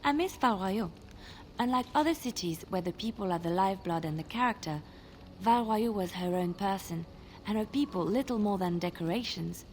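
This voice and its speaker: An actress playing a gracious, wistful young bard in a video game, speaking in a lyrical storytelling way. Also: female voice, mid-range voice, expressive, normal speed, a little hiss or hum, lightly acted. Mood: wistful, dreamy. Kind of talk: video game dialogue acting.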